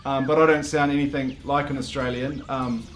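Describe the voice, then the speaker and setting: A man with a New Zealand accent giving a deadpan comic monologue, halting and full of "um"s. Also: mid-range voice, slight background noise. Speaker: comedic video host.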